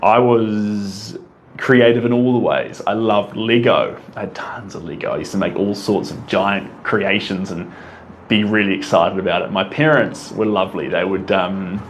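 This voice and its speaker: A man's voice: fairly low pitch, moderate pace, a little hiss or hum. A young man with a New Zealand accent, an artist casually answering viewer questions, rambling enthusiastically with frequent ums and restarts. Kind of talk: casual Q&A monologue.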